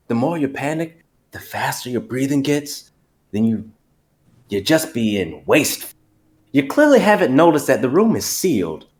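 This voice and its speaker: A man voicing an unflappable, creepy country man, calm and coldly fatalistic. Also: medium pitch, voice pushed up, close to an everyday voice.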